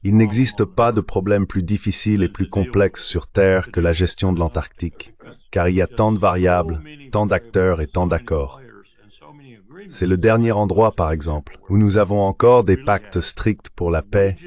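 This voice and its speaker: A man with a deep, low-pitched voice gives political commentary as a translated voice-over. His delivery is measured and explanatory. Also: a little mellow. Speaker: panel commentator.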